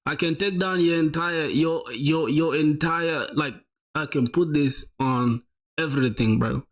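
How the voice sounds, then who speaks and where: A man's voice: on the high side, measured pace. A young man live-streaming, reviewing viewers' websites in a casual, slangy way, full of "bro" and "my boy".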